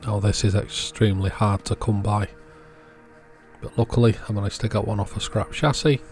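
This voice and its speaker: A man's voice: lowish voice, fairly even intonation, moderate pace, darkish tone, faint music behind. A man giving an informal how-to voice-over in short, matter-of-fact sentences, as a repair channel host.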